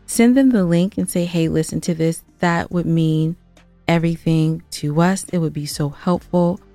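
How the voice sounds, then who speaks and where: A woman with a fairly low voice chats as a podcast co-host, recorded close and dry with music underneath. She speaks earnestly and emphatically, with some playful self-mockery. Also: moderate pace, mellow, no room sound. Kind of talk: casual podcast chat with appeals to listeners.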